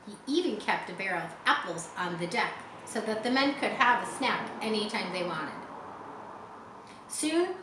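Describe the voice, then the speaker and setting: A woman reading a children's story aloud expressively, pausing to explain words for young listeners. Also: mid-range voice, fairly bright tone. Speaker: audiobook reader. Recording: roomy echo, faint background noise.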